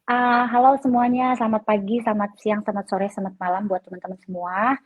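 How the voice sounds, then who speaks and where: Husky woman introducing herself. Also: mid-range voice, flat delivery, quick pace, no echo, narrow, tinny audio. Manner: hesitant, many fillers. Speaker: guest. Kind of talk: self-introduction on an online panel.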